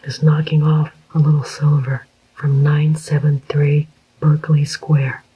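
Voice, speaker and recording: A reader whispering the part of a calm, scheming male club owner in a slow, even voice. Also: a little high, husky. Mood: curt. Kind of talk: whispered audiobook reading. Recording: dull lo-fi recording.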